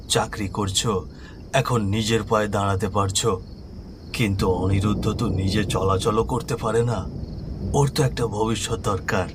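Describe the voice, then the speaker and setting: A man's voice: lowish voice, natural voice. Worried old father, acted by a man, horror cartoon, earnest, emphatic, noisy ambient background. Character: worried elderly father of three grown sons.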